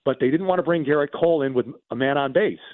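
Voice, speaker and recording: A man's voice: a little high, fast, no room sound. Guy on sports radio going over old games in detail, kinda emphatic, dry lo-fi sound. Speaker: radio baseball commentator and guest. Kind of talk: unscripted sports talk, recounting games in detail.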